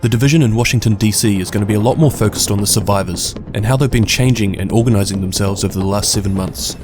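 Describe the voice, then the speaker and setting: A man's voice: fairly low pitch, quick pace. A man with a New Zealand accent delivering scripted narration as a video host. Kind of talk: scripted narration of game lore. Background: prominent background music.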